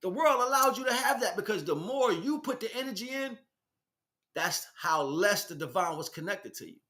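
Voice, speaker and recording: A man's voice: high-pitched, animated, normal speed, bright, forceful tone. A man giving an impassioned, informal spiritual lecture in a blunt, emphatic street vernacular, often asking rhetorical questions. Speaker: spiritual teacher, speaker.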